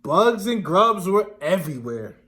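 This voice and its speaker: A man's voice: sits high, big pitch swings, unhurried, fairly bright tone. A man reading a children's story aloud expressively, explaining words and putting questions to young listeners. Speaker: classroom storybook reader.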